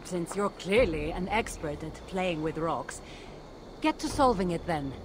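A woman's voice: fairly low pitch, lively intonation, measured pace, noisy background. An actress playing a wry, seasoned woman adventurer in a video game, teasing and playful but earnest when giving warnings. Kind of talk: video game cutscene acting.

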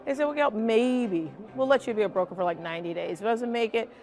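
A woman's voice: on the high side, fast talker, darkish tone, soft background music. A middle-aged American woman speaking in an interview and teaching, as a camp director does. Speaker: youth finance camp director.

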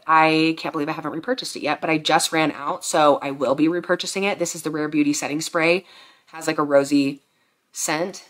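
A pregnant young woman in her twenties chatting casually about beauty products from her bedroom, congested and enthusiastic, rambling with fillers. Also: low-pitched. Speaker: beauty vlogger. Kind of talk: casual product review chat. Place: bedroom at home, indoors.

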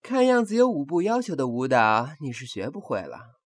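A woman's voice: extremely low pitch, very animated, moderate pace, slight background noise. A woman playing a bossy, exasperated male brothel boss in a comic audio drama, commanding and mocking.

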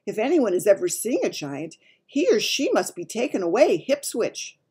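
A woman's voice: mid-range voice, big pitch swings, normal speed, warm tone, breathy edge, voice pushed up. A woman voicing a big male giant from a children's storybook, blustery and emphatic, with muddled grammar and invented words. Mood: earnest, explaining. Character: big friendly giant man, blustery, mangles his words.

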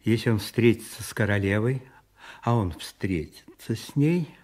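An actor playing a cold, calculating cardinal and spymaster in a radio play, deliberate and commanding, with savouring pauses. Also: male voice, on the low side, darkish tone, breathy edge, full character voice.

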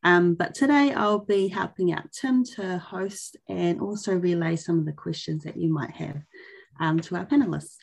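A woman's voice: lowish voice, normal speed, vocal fry, breathy edge. A woman in her thirties introducing herself and her role formally as co-host of an online panel. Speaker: engagement manager and co-host. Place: indoors on a video call. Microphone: computer mic on video call.

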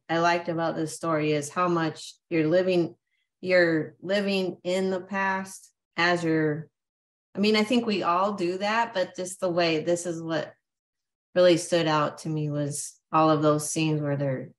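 A woman in her forties sharing her thoughts in a casual discussion over a video call, hesitant and full of fillers. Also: on the low side. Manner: hesitant, lots of fillers and restarts. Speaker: discussion participant. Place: home room with brick wall.

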